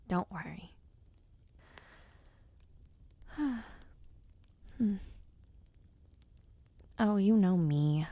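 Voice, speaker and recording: Woman doing a teasing young noble knight girl, slow and soft, bit of vocal fry, super dry close sound. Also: mid-range voice, no room sound. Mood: playful, affectionate. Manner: soft, teasing and intimate, drops to whispers. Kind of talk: audio roleplay.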